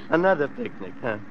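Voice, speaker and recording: An American actor playing a menacing, mocking fugitive killer giving orders in an old-time radio drama. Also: male voice, medium pitch, highs cut off, heavy background noise.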